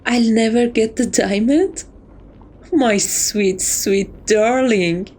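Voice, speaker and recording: Slow, high-voiced teasing catgirl thief acted by a woman. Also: darkish tone. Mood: soothing, possessive. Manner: teasing, coy, theatrical, affectionate. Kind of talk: romantic ASMR roleplay.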